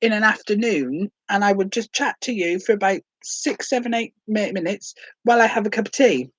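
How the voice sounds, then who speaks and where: A woman in her late forties from Hereford rambles cheerfully in a first vlog, full of cold with a sore throat. She is self-deprecating and chatty, and her voice sits mid-pitch in a dry, close recording. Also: fairly quick, breathy edge, no echo. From England, Hereford. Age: late 40s. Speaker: vlogger.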